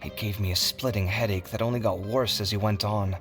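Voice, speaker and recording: A man voicing an expressive, generous, easily exasperated young scholar in an anime video game, articulate and animated. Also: lowish voice, brisk. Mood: earnest. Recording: guitar music behind.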